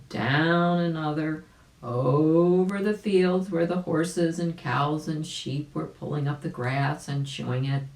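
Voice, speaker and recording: A woman narrates a children's storybook in a fairly low voice. The reading is slow and highly animated, with chatty asides, and the room echoes around the words. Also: creaky. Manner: animated, unhurried. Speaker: volunteer storybook reader. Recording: roomy, echoing sound.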